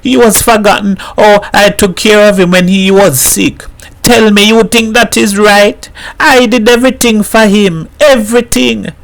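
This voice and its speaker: A woman's voice: steady tone, unhurried, pauses often, a bit bright, lightly acted. A man voicing a distraught woman, pleading and tearful in a storybook reading. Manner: pleading, tearful, high-pitched. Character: distraught woman, devoted to a cruel lover.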